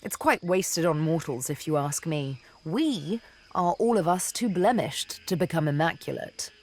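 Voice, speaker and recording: A woman playing a seductive, vengeful elven assassin and former slave in a video game, speaking in a deliberate, theatrical, teasing manner. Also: sits low, lively intonation, a little mellow. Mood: musing, uneasy. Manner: deliberate, teasing, dramatic storytelling with pauses. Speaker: video game companion character.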